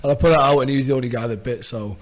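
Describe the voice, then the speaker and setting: A man's voice: medium pitch, racing. A man with a North Welsh accent, a boxer answering interview questions in a casual, easygoing way. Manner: casual, easygoing, many fillers.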